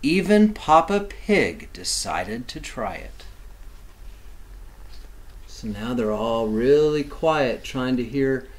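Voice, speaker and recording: Slow, animated man reading a storybook. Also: medium pitch. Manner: expressive, simple explanatory asides for children. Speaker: teacher reading aloud to kindergarteners. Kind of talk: animated children's storybook read-aloud.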